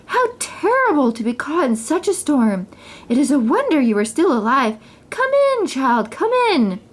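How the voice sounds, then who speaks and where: An excitable, scheming fairy-tale queen, acted out by a woman reading a storybook. Her voice jumps high and swoops widely. She fusses and commands in exclamations, bossy through and through. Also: moderate pace, slightly rough, exaggerated voice. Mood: fussing concern. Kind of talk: children's storybook character reading.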